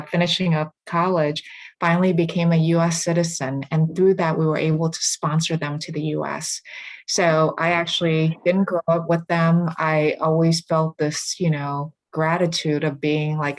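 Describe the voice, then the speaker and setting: Low-voiced woman telling her story. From Vietnam. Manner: reflective, earnest storytelling. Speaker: guest. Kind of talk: casual interview. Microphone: remote call.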